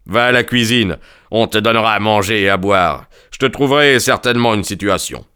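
A man voicing an older country gentleman in an audiobook, bluff and emphatic with an uneasy heartiness. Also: fairly low pitch, unhurried, clean tone. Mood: forced heartiness.

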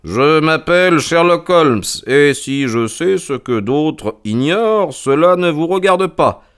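A man voicing a shrewd detective in an audiobook, courteous and commanding, with deliberate, articulate delivery. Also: a little high, lively intonation, a bit bright, clean tone, voice pushed up. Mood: smooth, assured. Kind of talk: audiobook character voice.

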